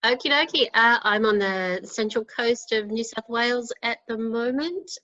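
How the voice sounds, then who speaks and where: Aussie woman writer chatting, pauses to think, bright voice, slightly dull recording. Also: a little high, dry recording. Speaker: romance author, panel guest. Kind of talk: casual self-introduction. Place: at home on a video call. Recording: dull, dry call audio.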